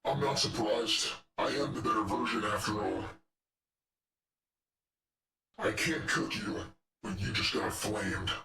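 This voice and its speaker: A man's voice: on the low side, normal speed, far from the mic, noisy background, close to an everyday voice. A man voicing a menacing, arrogant robot henchman in a video game, fiery and sadistic, with taunting, condescending lines. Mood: smug, taunting. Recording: echoey room, off the microphone.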